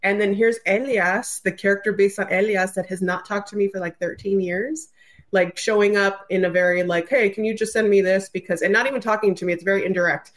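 A woman with a mid-pitched voice speaks as a guest in a casual podcast chat. She is effusive and exclamatory, telling things in an informal, chatty way. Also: steady tone, brisk.

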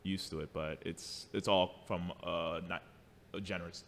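Deep-voiced New man, very echoey. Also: steady tone, moderate pace, fairly bright tone, slight background noise. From New York City. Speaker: interview guest. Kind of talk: casual chat. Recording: long reverberant room echo.

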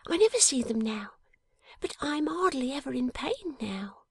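A high, bright little dressmaker girl. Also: big pitch swings, normal speed, no echo. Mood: tender, eager. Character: small, eager young dressmaker girl. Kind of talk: audiobook character voice.

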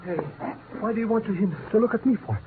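A man playing a whiny, high-pitched panicky coward. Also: fast, close to an everyday voice. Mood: alarmed. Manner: whining, repeats himself in alarm. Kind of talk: 1950s radio drama acting. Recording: noisy, tinny 1950s radio recording.

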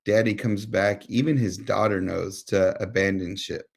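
Gravelly, low-pitched American man debating casually. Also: steady tone, moderate pace. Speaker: podcast co-host.

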